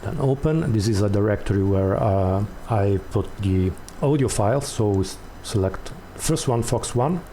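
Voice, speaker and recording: A man hosting a step-by-step tutorial, explaining off the cuff in a halting way and repeating words as he goes. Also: on the low side, expressive, warm tone, a little hiss or hum. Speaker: tutorial host.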